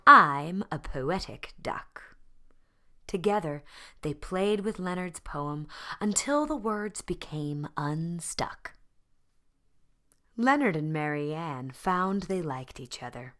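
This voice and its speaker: A woman narrates a children's storybook in a low voice. She reads slowly and deliberately, leaving dramatic pauses, and her pitch rises and falls expressively. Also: animated. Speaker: storybook reader.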